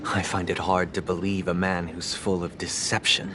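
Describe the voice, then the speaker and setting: A man's voice: sits low, little pitch movement, normal speed, pushed and bright, slight background noise. An actor playing a stern, distrustful young nobleman in a game, curt, formal and commanding. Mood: suspicious. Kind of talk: scripted game voice acting.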